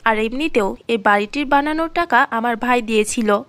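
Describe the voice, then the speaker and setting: A woman's voice: a little high, normal speed, a bit bright, dry recording, pitched below the performer's own voice, close to an everyday voice. A woman voicing a bitter, domineering aunt and wife in a cartoon fairy tale, scornful and threatening.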